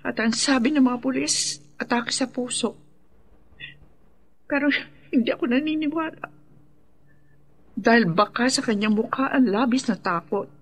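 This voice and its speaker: A woman's voice: fairly high pitch, a little slow, pauses often, a little hiss or hum, close to an everyday voice. An actress playing an earnest woman who gives counsel in a Filipino radio drama. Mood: earnest, grave. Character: earnest woman advising a remorseful man.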